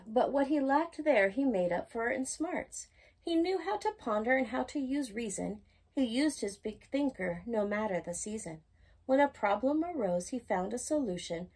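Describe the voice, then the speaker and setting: A woman reading a rhyming children's picture book aloud as a storytime host, with expressive, singsong emphasis. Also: fairly high pitch, husky, rough recording.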